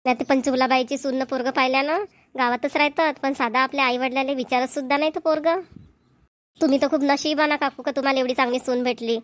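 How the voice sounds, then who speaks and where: A high, rapid voice of a woman acting as a village grandmother in a homemade cartoon comedy. She is a friendly, gossipy neighbour with a Varhadi Marathi accent. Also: steady tone, close to an everyday voice. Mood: chatty, neighbourly.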